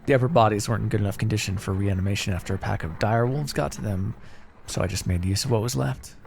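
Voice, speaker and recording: A man's voice: lowish voice, quick pace, vocal fry, darkish tone, long reverb tail, a little hiss or hum. A man playing a pragmatic, chatty necromancer in a casual, wry ASMR fantasy roleplay. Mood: easygoing, confident. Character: pragmatic, chatty male necromancer, matter-of-fact and ambitious. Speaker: roleplay voice actor. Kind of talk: ASMR fantasy roleplay, one-sided dialogue.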